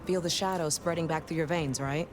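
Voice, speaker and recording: A woman's voice: low-pitched, steady tone, brisk, over music, close to an everyday voice. An actress voicing a tough, cocky female vampire enforcer in a video game, brash and streetwise. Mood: grudging.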